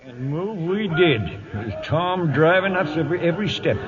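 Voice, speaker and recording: An actor playing a loyal old cowhand who tells the tale in rustic, plainspoken words for a 1940s radio western. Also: male voice, a little high, animated, moderate pace, crowd noise, close to an everyday voice. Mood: wry recounting. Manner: rustic, plainspoken, drawling storyteller. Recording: tinny old radio broadcast with audience.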